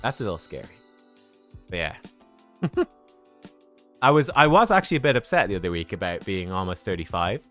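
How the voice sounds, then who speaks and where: A man's voice: low voice, sing-song, a little fast, long pauses, sharp tone, soft background music. A man in his thirties chatting casually with viewers on a game livestream, rambling and joking at his own expense. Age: 30s.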